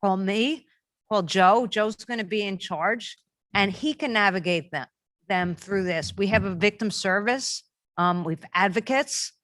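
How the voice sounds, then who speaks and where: A woman's voice: mid-range voice, fairly bright tone, no room sound. A woman official at a community forum answering directly and reassuringly.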